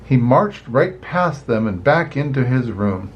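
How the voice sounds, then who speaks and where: An older man reads a picture book aloud like a grandparent, slowly and with plenty of rise and fall in his voice. He slips in chatty asides as he goes. The sound is a bit dull and lo-fi. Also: mid-range voice. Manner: expressive, unhurried, chatty asides. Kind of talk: children's storybook reading with asides.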